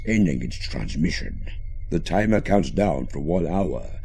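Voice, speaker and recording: A man narrates a suspense story, addressing the listener directly. His voice is exceptionally deep with a rough, creaky grain, and his delivery is grave. Faint music sits behind him. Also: warm tone. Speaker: guest narrator reading a question. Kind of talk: dramatic second-person story narration.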